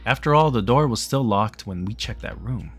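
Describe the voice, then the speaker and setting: A man's voice: mid-range voice, expressive, normal speed, a bit bright, husky, soft background music. A man reading a visual novel aloud as dramatic narration, dropping into casual asides. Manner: acts out character dialogue.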